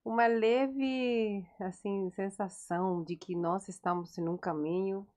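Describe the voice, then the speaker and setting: A woman's voice: medium pitch, steady tone, slow pace. A woman with a regional accent co-hosting a psychology podcast, speaking encouragingly in a casual conversation. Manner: warm-hearted, encouraging, lots of "né".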